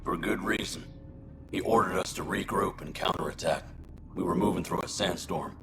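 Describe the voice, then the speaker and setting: An actor playing a weary, resigned colonist imprisoned for murder, speaking in a halting, subdued way in a video game. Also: male voice, sits low, creaky, very noisy. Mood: remorseful.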